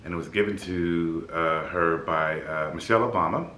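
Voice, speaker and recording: A man in his fifties with a deep baritone voice, a bit scratchy in the throat, chatting upbeat to the camera in his apartment as a vlog host. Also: normal speed, pushed and bright, echoey room. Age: 50s. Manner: upbeat, chatty, laughs easily. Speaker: flight attendant vlogger. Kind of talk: casual vlog chat. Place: apartment room by a city window.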